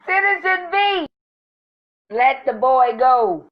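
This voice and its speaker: A woman's voice: sits high, big pitch swings, takes it slowly, lo-fi sound, full character voice. A woman playing a guarded, defiant vigilante heroine in an amateur superhero film, firm and serious. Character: defiant, guarded female vigilante hero with a past.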